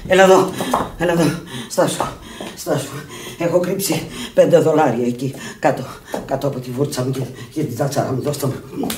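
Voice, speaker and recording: An actress playing a gravely ill, stubborn, desperate woman in a radio play, halting and repeating her words. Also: deep voice, fairly even intonation, distant mic, natural voice. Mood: pleading, agitated. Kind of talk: dramatic Greek radio play acting. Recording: echoey, noisy old radio drama recording.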